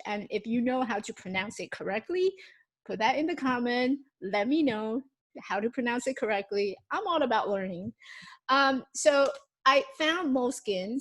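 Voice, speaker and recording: A woman in her thirties with a slightly high voice, speaking English as a second language with Mandarin as her first. She talks casually and chattily to her viewers, restarting sentences and filling pauses with "um" while she holds up her notebooks. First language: Mandarin Chinese. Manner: chatty, with frequent restarts and ums; shows notebooks to the camera while talking. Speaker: YouTube host. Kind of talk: casual vlog talk.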